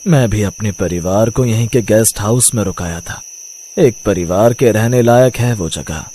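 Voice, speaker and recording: A man voicing an old male roadside eatery keeper in a cartoon story, speaking gravely and cryptically. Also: lowish voice, moderate pace, dark tone, slight background noise, lightly acted. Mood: quietly hospitable. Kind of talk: cartoon moral story acting.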